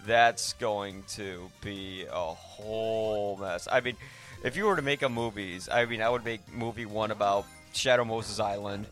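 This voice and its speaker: A man hosting a podcast, casually discussing films in a rambling, halting way that often interrupts itself. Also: on the low side, fairly bright tone, faint music behind. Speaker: podcast host.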